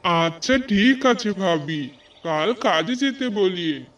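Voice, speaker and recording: A man acts out an emphatic village fellow for a cartoon. His voice is high and his words come slowly. It sounds somewhat distant from the mic, with faint music. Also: lively intonation, a bit bright, heavily acted. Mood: friendly calling. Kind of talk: Bengali cartoon voice acting.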